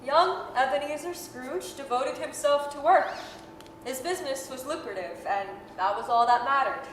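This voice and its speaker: A woman as a storybook narrator with a high voice, telling the tale in old radio style. The sound is echoey, with hall reverb and faint noise. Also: moderate pace, fairly bright tone. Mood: measured storytelling. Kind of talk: scripted narration, 1930s radio acting.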